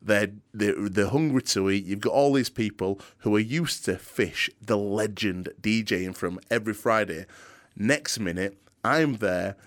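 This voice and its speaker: Yorkshire man with a deep radio voice. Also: a little slow. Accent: Yorkshire, softened. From Yorkshire, England. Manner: energetic, earnest, some restarts. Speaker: DJ and presenter, interview guest. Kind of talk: interview answers, storytelling. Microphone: studio microphone.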